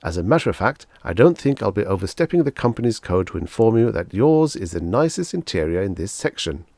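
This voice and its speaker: A man voicing a tall, imposing, jovial finance agent with effusive, brisk sales patter in an audiobook story. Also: lowish voice, big pitch swings, gravelly, a little mellow, dry recording, exaggerated voice. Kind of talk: audiobook character dialogue.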